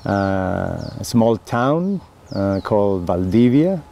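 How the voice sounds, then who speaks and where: A middle-aged Chilean man with a gravelly, fairly low voice and a Chilean Spanish accent. He talks very slowly and earnestly, stopping often on fillers and restarting phrases. Faint background noise sits under the recording. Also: sing-song, dark tone. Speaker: winemaker interviewee. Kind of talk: oral history interview.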